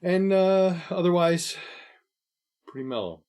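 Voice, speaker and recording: A man from Buffalo, New York, co-hosting a podcast, chatting in a relaxed way with frequent laughs and loudness that rises and drops. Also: high voice, a little slow, clear, resonant tone. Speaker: podcast co-host. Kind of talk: casual chat.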